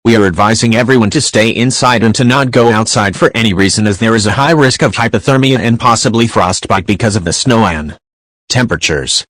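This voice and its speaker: A man's voice: on the low side, fairly quick, fairly bright tone, no room sound, close to an everyday voice. A man playing an earnest radio announcer, reading a scripted storm safety update in a plain, orderly way.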